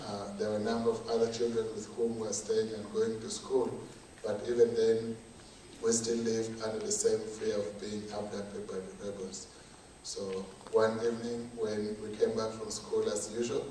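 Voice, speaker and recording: A young Ugandan man from northern Uganda giving a measured, earnest personal testimony as a conference speaker. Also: lowish voice, mellow, slight background noise. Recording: echoey hall from far off.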